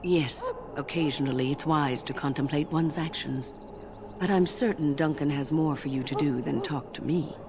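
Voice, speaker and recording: A woman playing a wise, composed older mage in a fantasy game, explaining things in a measured, teacherly way. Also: low voice. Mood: composed, instructive. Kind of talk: video game dialogue. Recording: loud game music behind the voice.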